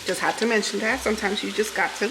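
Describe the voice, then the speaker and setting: A woman's voice: medium pitch, fairly even intonation, fast talker, gravelly, echoey room, music in the background, low-quality recording. Chatty New York woman cooking at home. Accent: New York with a Southern lilt. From New York City. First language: Spanish. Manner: chatty, laughs often, talks to viewers as "y'all". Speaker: vlogger. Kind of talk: casual chat while cooking. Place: home kitchen with food frying.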